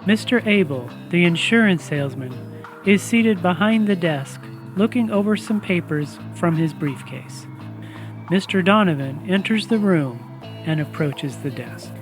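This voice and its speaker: Radio play narrator: man with a fairly high, projected voice, deliberate and presentational, over prominent music. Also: animated. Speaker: announcer and narrator. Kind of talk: scripted radio theatre narration.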